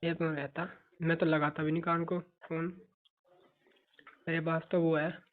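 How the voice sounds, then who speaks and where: A man's voice: a little high, flat delivery, unhurried. A young man chats casually over a phone call, bantering and arguing in a teasing way. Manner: bantering, argumentative. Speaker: younger-generation family member, brother on a call. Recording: tinny narrowband phone call.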